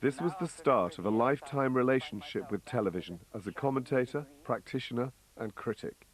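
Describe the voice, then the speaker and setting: A man's voice: medium pitch, expressive, fairly quick, poor audio. A man reading scripted documentary narration.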